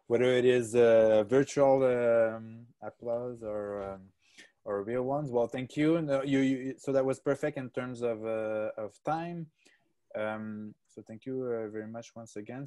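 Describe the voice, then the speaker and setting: A man moderating an online seminar, introducing the next speaker with a lot of fillers and pauses. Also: mid-range voice, darkish tone. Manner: halting, many fillers. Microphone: video call.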